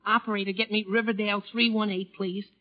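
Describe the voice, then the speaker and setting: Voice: medium pitch, flat delivery, fast, lightly acted. A performer playing an eager, earnest teenage son in a 1940s radio comedy, with gee-whiz boyish manner. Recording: muffled vintage 1940s radio broadcast.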